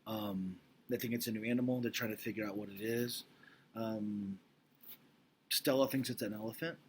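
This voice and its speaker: A man's voice: lowish voice, steady tone, normal speed, slight background noise. A man speaking as a teacher, casually recapping and reading a storybook aloud in an informal, explanatory way, checking in with listeners.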